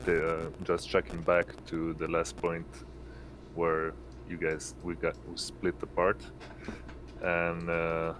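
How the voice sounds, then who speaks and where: Brief: male player, deep monotone, halting casual delivery, noisy rumbling mic. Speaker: player in a tabletop roleplaying game. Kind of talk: casual roleplay talk.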